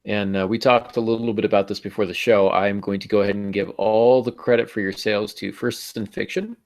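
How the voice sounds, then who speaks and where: A man's voice: fairly low pitch, fairly even intonation, a little fast. An American man in his thirties chatting casually as a podcast host, with a jokey, self-deprecating manner. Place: small home room on a video call. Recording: dull, compressed video call audio.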